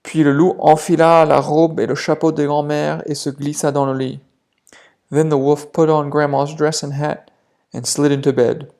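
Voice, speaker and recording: A man's voice: on the high side, little pitch movement, measured pace, a little mellow. A man narrating a children's bedtime story as a storyteller.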